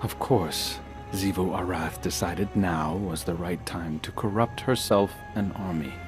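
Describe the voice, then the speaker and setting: A brooding male hunter from a video game. His voice is very low and slow, subdued and earnest with a wry edge, and loud music plays behind him. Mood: grim, wry. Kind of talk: video game cutscene acting.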